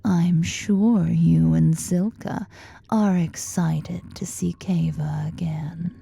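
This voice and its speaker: A woman playing a powerful, flirtatious female drider in an ASMR fantasy roleplay, speaking in a breathy, commanding, teasing murmur. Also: steady tone, slow, mellow, full character voice. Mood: sultry, tender. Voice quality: low, breathy, husky murmur. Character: powerful, imperious, flirtatious female drider, half-spider monster.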